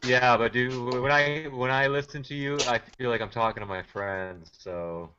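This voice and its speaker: A man's voice: medium pitch, normal speed, sharp tone, muffled recording, slight background noise. A man from Cape Cod who jokes around easily and laughs a lot as he co-hosts a casual sports chat. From Cape Cod, Massachusetts. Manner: easygoing, jokey, laughs often. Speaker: co-host.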